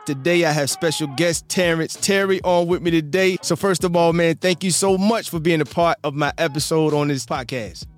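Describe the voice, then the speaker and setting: Man, podcast host, friendly, laughs a lot, slightly high voice, faint music underneath. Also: moderate pace, few pauses. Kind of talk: casual interview chat.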